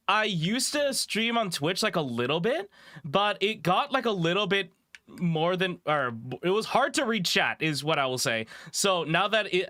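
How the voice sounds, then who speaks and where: A man with a raspy voice chatting casually and rambling in a self-deprecating way as he livestreams a game. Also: high-pitched, bright, forceful tone, no room sound, clean studio sound. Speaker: livestreamer, VTuber host. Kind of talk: casual gaming stream chat.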